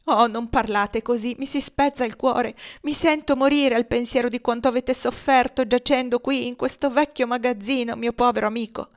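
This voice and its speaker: A woman voicing a loyal young gentleman in an audiobook, grieving, vehement and pleading. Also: on the high side, fairly even intonation, moderate pace, few pauses, voice pushed up, lightly acted. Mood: desperate, vehement.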